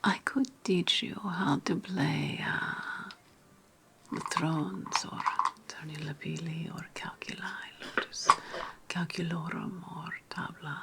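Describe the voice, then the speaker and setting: A woman playing a sly, condescending, seductive witch hostess of ancient Rome in a whispered ASMR roleplay, with breathy, lingering hums. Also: sits low, steady tone, slow, heavily acted. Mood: sly, coaxing.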